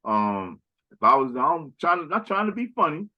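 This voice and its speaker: A man's voice: on the high side, very animated, normal speed, dull audio. A man speaking in a casual conversational chat as a podcast guest, rambling and often tagging "right?" and "you know".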